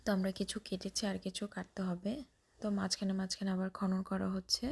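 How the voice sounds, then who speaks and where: A woman's voice: medium pitch, completely flat delivery, fast, vocal fry, husky. A woman narrating a casual vlog, describing each action as it happens and often repeating herself. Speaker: vlogger.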